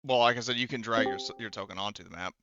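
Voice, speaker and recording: A man's voice: lowish voice, very fast, pushed and bright, lo-fi sound, slight background noise. A man casually narrating an online tabletop game as game master, hesitant and rambling, with many false starts.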